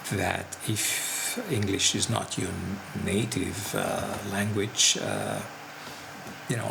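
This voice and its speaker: A man from Italy, an instructor speaking slowly and carefully, with frequent pauses and fillers. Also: lowish voice, gravelly, noisy background. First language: Italian. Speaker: seminar instructor. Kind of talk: promotional talk to camera.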